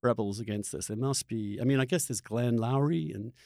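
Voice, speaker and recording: A man's voice: mid-range voice, fairly quick, darkish tone, dry recording, studio quality. A man hosting a conversational interview, earnest and emphatic, often repeating words and restarting as he gets worked up. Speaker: podcast host interviewing a guest.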